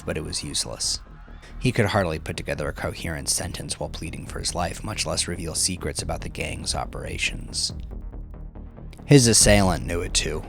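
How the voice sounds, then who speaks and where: A man with a low voice narrates crime fiction in a dramatic, scripted style. His delivery is grave and deliberate, building suspense over a clear music bed. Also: fairly bright tone.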